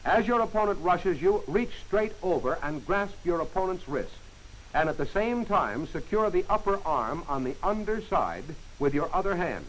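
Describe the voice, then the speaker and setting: A man giving scripted instructional narration for a training film, in crisp, clipped commands. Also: high voice, expressive, normal speed, rough recording. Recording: hissy old film soundtrack.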